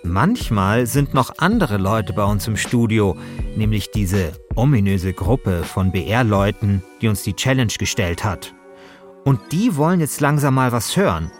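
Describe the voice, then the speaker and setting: A man's voice: fairly low pitch, animated, a little slow. A man hosting a podcast, delivering scripted narration. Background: faint music.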